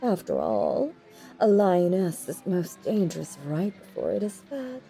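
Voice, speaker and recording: A woman playing a possessive, lovestruck stage magician in a roleplay audio drama, theatrical and doting, often breathy or whispering. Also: on the low side, wildly swooping pitch, loud music behind the voice, heavily acted. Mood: teasing, impatient. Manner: theatrical, doting, drawn-out hypnotic cooing. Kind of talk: roleplay audio drama, intimate second-person monologue.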